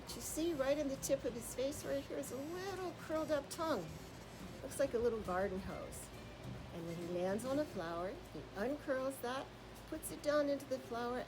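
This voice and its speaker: A woman reading a children's story aloud as a naturalist, with simple expressive phrasing and asides that put questions to young listeners. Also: sits high, moderate pace, over music, poor audio. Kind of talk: children's story time read-aloud with show-and-tell asides.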